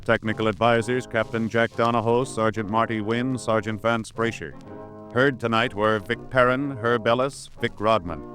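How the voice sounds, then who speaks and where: A man announcing in a formal, official, measured style on a 1950s radio broadcast. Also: fairly low pitch, steady tone, fairly bright tone. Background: music.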